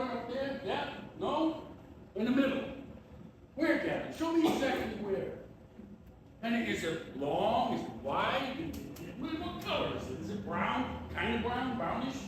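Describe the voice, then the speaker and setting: An actor playing a tormented, evasive painter in a live one-act stage play, dry and cryptic but needling and insistent. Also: male voice, extremely high pitch, heavily acted. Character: tormented, evasive painter blocked on an unfinished canvas. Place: tavern theater venue. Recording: echoey room from far off, noisy.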